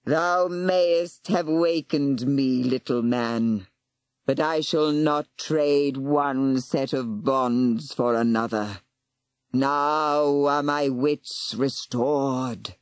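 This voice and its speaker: A narrator voicing an ancient giant male serpent that speaks slowly and imperiously in old-fashioned words. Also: dry recording. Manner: slow, archaic, booming speech.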